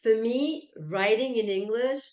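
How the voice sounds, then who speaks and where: A woman's voice: a little high, unhurried, a little mellow. A woman from France with a French accent, a native French speaker, answering interview questions in an emphatic, self-assured conversational way. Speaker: interview guest, keynote speaker, coach and author. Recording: roomy, echoey sound.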